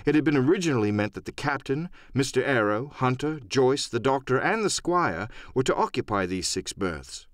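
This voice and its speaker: A man narrates an adventure audiobook from a script. His medium-pitched voice moves with lively inflection and is recorded close and dry. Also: moderate pace, hardly any pauses, dry recording.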